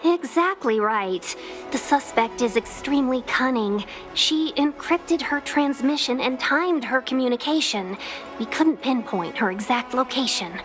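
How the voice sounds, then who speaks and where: A woman's voice: high-pitched, expressive, measured pace, fairly bright tone, soft background music. A woman playing a courteous, poised young female envoy in a video game, speaking politely and formally. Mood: businesslike. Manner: polite, formal, smoothly hospitable. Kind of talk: video game character dialogue.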